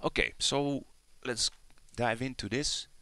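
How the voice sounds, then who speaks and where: Male screen-demo narrator, mid-pitched and gravelly, amused and unscripted, recorded with heavy radio hiss. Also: slow pace, no echo. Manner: amused, often chuckling, swears casually. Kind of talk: casual off-the-cuff screen-recording commentary. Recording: hissy with loud radio static. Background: shortwave radio static and signals.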